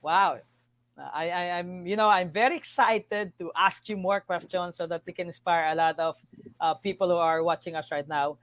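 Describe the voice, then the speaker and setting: A man's voice: sits high, fairly even intonation, normal speed, fairly bright tone, dry recording. A man hosting an online interview, effusive, often repeating words and saying "you know". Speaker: host.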